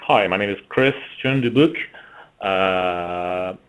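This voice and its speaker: A man with a French-Canadian accent presenting a business webinar, halting with frequent fillers and throat clearing. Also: sits low. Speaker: marketing executive presenting a webinar.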